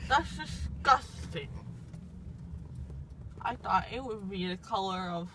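A woman's voice: medium pitch, expressive, slow pace, a bit bright. A young woman, groggy and numb-mouthed after dental surgery, rambling sillily and slipping into sing-song bits from a car's passenger seat. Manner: silly, slurred, breaks into sing-song. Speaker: patient after wisdom teeth removal. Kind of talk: loopy rambling chat. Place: passenger seat of a moving car. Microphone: phone camera held by driver. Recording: car road noise behind.

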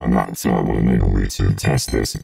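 A man talking through a pitched-down synthesizer voice changer as an electronic giant, halting and casual as in a live tutorial. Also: steady tone, dark tone, recorded from a distance, faint music behind, heavily acted. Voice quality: gravelly, synthetic. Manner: halting, thinking aloud.

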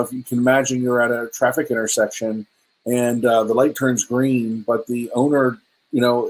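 Husky man presenting on a video call. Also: medium pitch, poor audio. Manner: hesitant, many ums and restarts, relaxed and chatty. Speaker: presenter at a virtual meetup. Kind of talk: casual presentation over slides. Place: indoor room at home. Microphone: webcam video call.

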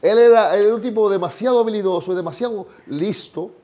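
A man's voice: high voice, quick pace, mellow. A man in his sixties, a singer, talking earnestly in an interview and often repeating words as he searches for them. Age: 60s. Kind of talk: casual interview chat. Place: bright living room set, indoors.

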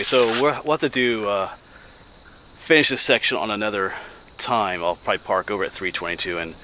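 A man's voice: fairly low pitch, sharp tone, poor audio. An American man in his forties chatting casually and rambling folksily as he walks a wooded trail, speaking into a handheld camera. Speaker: hiking vlogger and teacher. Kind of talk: casual chat while walking a trail. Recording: outdoor camera audio with clear noise.

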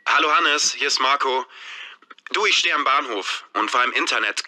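A friendly caller leaving a message, his voice very bright and forward. He speaks in a clear, steady manner suited to an exam recording. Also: mid-range voice, moderate pace. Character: adult man leaving a friendly phone message. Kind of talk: scripted listening-test dialogue.

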